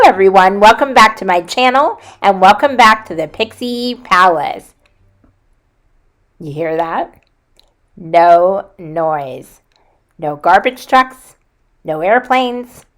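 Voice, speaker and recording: A woman in her forties, a content creator, talking in an earnest, reflective way from a quiet room at home, with small hesitations. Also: on the low side. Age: 40s. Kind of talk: reflective vlog talk.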